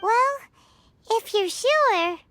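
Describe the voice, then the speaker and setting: A woman's voice: drawn-out words, dry recording, full character voice. A woman voicing a tiny, chirpy, childlike fairy companion in a video game, eager and chatty. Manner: squeaky, excitable, chatty.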